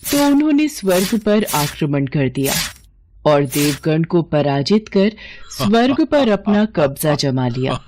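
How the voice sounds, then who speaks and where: Casting: female narrator for myth tales, unhurried and mellow, slightly low voice. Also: sing-song, a little hiss or hum. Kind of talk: scripted mythological storytelling narration.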